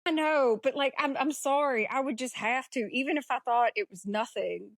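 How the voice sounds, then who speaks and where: A woman's voice: high-pitched, moderate pace, dry recording, clean studio sound. A woman co-hosting a podcast, discussing a film casually in a blunt, slangy, informal way. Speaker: podcast co-host.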